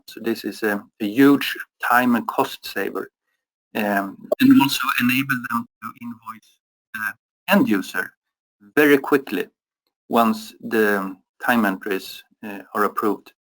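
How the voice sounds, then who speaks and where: European man, mid-pitched, halting webinar answers with lots of fillers. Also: a bit bright, rough recording. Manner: halting, many fillers and restarts. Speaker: product expert.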